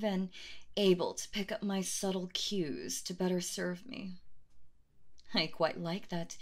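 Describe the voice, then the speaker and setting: A woman playing a calm, cold, commanding and flirtatious female boss in a hushed, deliberate ASMR roleplay. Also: lowish voice. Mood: quietly approving. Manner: deliberate, hushed, possessive, with terms of endearment. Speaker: voice actress. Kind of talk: ASMR roleplay, intimate scripted monologue to the listener.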